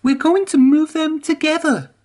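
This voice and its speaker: A reader voicing Emily, an anxious young female steam engine, in a high, breathless, fretful storybook voice. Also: fairly even intonation, normal speed, a little mellow, lightly acted. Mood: cheerful. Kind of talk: storybook character voice.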